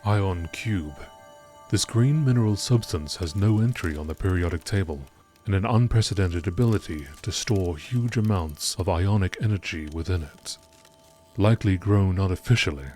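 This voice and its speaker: A man reading scripted science-fiction encyclopedia entries in a measured, formal nature documentary style. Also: deep voice, darkish tone, soft background music. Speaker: narrator reading game lore entries.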